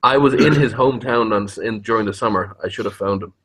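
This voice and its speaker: A man's voice: fairly low pitch, fast talker, fairly bright tone, rough recording. A man chatting casually as an interview guest, rambling and hesitant, with a joking streak and easy swearing. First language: English. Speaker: interviewed YouTube horror story narrator.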